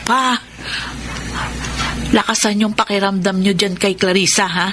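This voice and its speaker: A woman's voice: mid-range voice, fairly even intonation, measured pace, noisy background, lightly acted. An actress playing a suspicious, meddling stepdaughter in a Filipino radio drama, scheming and insistent as she talks. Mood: accusing, insistent. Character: suspicious, meddling stepdaughter tailing her father's wife.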